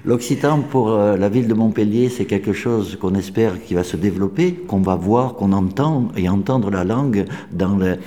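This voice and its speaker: Guy interviewing someone, kinda halting, low dark voice. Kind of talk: interview at a public event.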